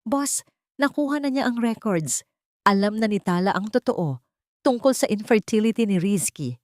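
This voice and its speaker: A woman's voice: mid-range voice, animated, moderate pace, darkish tone, no room sound, voice pushed up, lightly acted. A shadowy male lookout acted out by a woman. He reports in a businesslike, conspiratorial way, within a dry-sounding audio melodrama. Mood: urgent. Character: mysterious male henchman reporting secretly to his boss.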